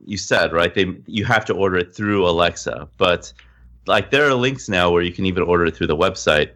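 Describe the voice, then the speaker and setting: A man with a low-pitched voice speaks as a podcast co-host. He explains things informally and step by step, in relaxed everyday conversational phrasing. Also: fairly quick. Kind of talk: casual explanatory tech chat.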